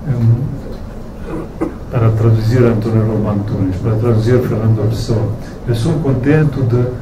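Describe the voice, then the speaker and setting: A man with a Romanian accent speaks slowly and haltingly, restarting phrases as he searches for words in a language he mostly reads. His voice sits at a medium pitch. He is recorded from a distance in a reverberant event room with steady background noise. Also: highs cut off. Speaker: author at a book launch. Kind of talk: halting thank-you speech. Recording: echoey room, distant mic, noisy.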